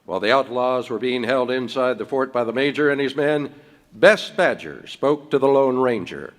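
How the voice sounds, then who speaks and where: A man's voice: mid-range voice, normal speed. A man giving dramatic 1930s-style radio narration, read live on stage.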